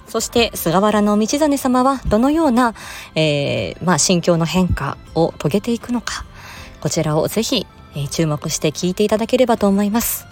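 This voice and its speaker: A woman's voice: medium pitch, darkish tone. A woman giving a promotional announcement in a polite, formal manner, like a presenter. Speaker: voice performer and channel host. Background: music.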